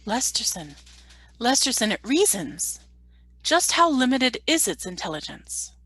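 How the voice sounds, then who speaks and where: Stern governor female performer, radio play. Mid-pitched, forceful, formal, dry close recording. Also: big pitch swings, a little slow, no echo, exaggerated voice, poor audio. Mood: questioning. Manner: formal, authoritative. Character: stern, questioning colony governor. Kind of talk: scripted radio play reading.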